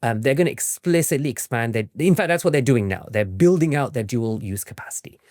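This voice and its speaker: An animated man speaks as an interview guest, explaining things with a wide, lively swing in pitch. He often checks in with "right?" at the end of a point, and the sound is dry and close. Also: a little fast, dry recording. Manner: frequently tags statements with "right?". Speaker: interview guest, academic author and historian.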